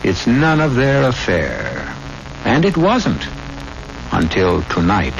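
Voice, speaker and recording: A man's voice: mid-range voice, huge pitch swings, slow pace, creaky, full character voice. A man narrating in a dramatic radio storytelling style. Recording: muffled, noisy old radio recording.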